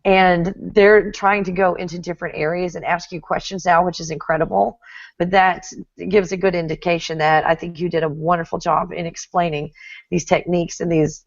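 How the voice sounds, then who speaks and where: A woman's voice: fairly low pitch, creaky, no echo, lo-fi sound. A middle-aged woman from Texas with a Texan accent, hosting a casual interview, chatty and often laughing. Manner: chatty, laughs often, reads viewer comments aloud. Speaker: host.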